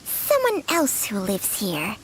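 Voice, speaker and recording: A woman voicing a proud, sharp-tongued, ancient female spirit creature in a video game. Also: mid-range voice, wildly swooping pitch, slow, background noise. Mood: pointed, skeptical. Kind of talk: English video game voice acting.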